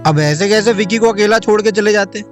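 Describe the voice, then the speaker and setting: A man's voice: high voice, a little fast, faint music behind, natural voice. A young man playing a loyal small-town friend in an audio drama, speaking earnestly and respectfully.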